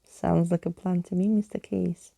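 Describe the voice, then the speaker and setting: A woman's voice: on the low side, little pitch movement, normal speed, creaky, mellow, no room sound. A woman voicing a plucky, eager young girl in a storybook, wide-eyed and full of playful slang.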